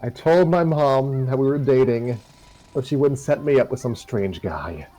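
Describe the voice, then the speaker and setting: A man's voice: medium pitch, animated, moderate pace, mellow, soft background music, close to an everyday voice, rough recording. A man voicing an anxious, dramatic teenage girl who lies badly, in a comedic amateur fan fiction reading. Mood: exasperated.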